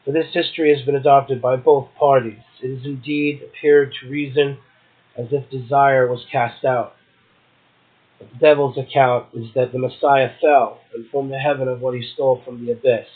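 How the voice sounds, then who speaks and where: A man's voice: mid-range voice, flat delivery, moderate pace. Phone-like recording of a man reading poetry. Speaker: poetry podcast host, poet. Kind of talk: poetry reading and casual commentary. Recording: muffled, tinny phone-like audio.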